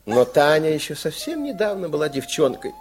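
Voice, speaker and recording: A man narrating a radio fairy tale in an expressive, wry storytelling manner. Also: a little high, a little fast, a little hiss or hum.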